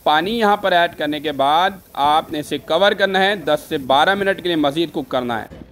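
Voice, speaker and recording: A man narrates recipe steps as a food vlogger. His voice sits a little high, and faint kitchen noise runs behind it. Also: moderate pace, a bit bright. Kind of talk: instructional recipe voice-over.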